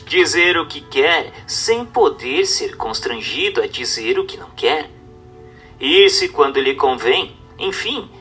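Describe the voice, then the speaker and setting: A man with a Brazilian accent reads a religious text aloud as a narrator, in a formal, measured, didactic manner over music. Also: fairly high pitch, a bit bright. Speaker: narrator reading a book aloud. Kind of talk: scripted audiobook-style narration of a religious text.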